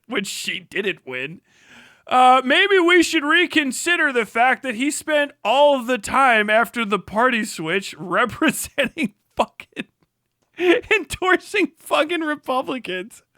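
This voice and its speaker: A man mockingly voicing a male political debater with a halting, drawn-out delivery on a livestream. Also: extremely high pitch, bright, forceful tone, no room sound, clean studio sound, full character voice.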